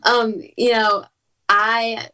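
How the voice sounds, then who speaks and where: A woman's voice: a little high, little pitch movement, drawn-out words, sharp tone, clean tone. Young woman, upbeat and chatty, lots of fillers, sounds a little far from the mic. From Southern California. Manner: chatty, upbeat, many fillers and small laughs. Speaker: interview guest, singer. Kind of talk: casual interview chat.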